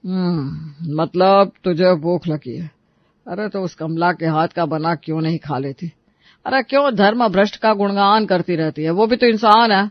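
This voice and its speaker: A man's voice: high voice, normal speed, no room sound. A man voicing a placating, reasonable family man in a dramatised household story, on a slightly muffled recording. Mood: persuading. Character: reasonable, pleading men of an Indian household.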